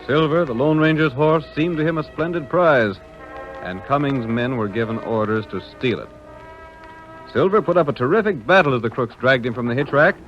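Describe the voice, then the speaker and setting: A man's voice: mid-range voice, moderate pace, natural voice. A man acting as the grand, dramatic narrator of a Western adventure serial in 1930s announcer style. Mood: storytelling. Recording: dull old radio, music behind.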